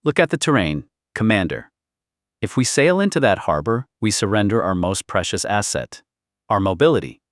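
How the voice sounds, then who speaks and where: Audiobook veteran general male narrator in role: calm, calculating, dry delivery, instructive, lowish pitch. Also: normal speed, dry recording. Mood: coolly calculating. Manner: flat, dry, lecturing.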